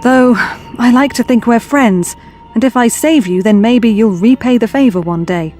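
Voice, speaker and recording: A woman's voice: on the high side, moderate pace, warm tone, soft background music, lightly acted. A woman playing an anxious, blunt, determined young woman in an audio drama. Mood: uneasy, wry. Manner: urgent, questioning, wry.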